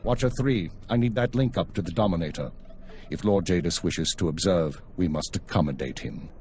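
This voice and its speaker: An actor playing a stern, formal intelligence chief giving a measured, authoritative briefing in a video game. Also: male voice, deep voice, darkish tone, faint music behind, close to an everyday voice.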